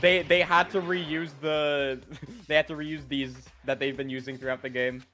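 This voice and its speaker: A man's voice: on the high side, flat delivery, normal speed, bright, forceful tone, faint music behind. Joking young man streaming a game. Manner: joking, slangy. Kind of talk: casual game stream commentary.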